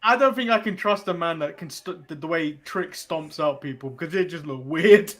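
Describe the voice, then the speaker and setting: Fairly high-voiced, dry man. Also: moderate pace, a crowd in the background. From England. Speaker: wrestling podcast co-host. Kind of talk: casual podcast chat.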